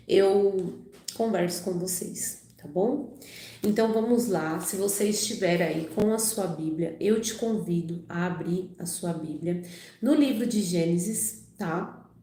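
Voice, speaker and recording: A woman's voice: on the low side, measured pace, mellow, reverberant. A woman hosting a live channel, reading the Bible aloud and chatting, her voice a little off from a cold, with lots of "né" and "tá bom" tags. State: recovering from a cold. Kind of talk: casual livestream talk and Bible reading aloud.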